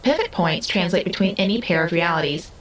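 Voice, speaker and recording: A woman's voice: lowish voice, animated, a little fast. A woman playing a young otherworldly being who speaks earnestly in formal, stilted, precise sentences in an audio drama. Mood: literal, instructive. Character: young otherworldly female being, earnest, literal, curious, restless.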